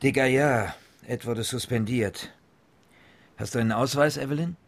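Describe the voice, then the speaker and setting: An actor playing a brusque, sardonic police sergeant in a radio crime drama, husky, commanding and exasperated. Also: male voice, medium pitch, normal speed. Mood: weary, questioning.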